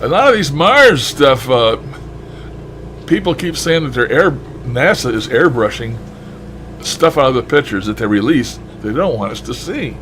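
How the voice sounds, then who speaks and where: A man with a mid-range voice rambles casually to his listeners. His delivery is folksy and blunt, with some mild swearing. A faint steady noise sits behind him. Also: mid-range voice, a little slow. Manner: folksy, blunt, mildly profane. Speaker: shortwave radio hobbyist vlogger.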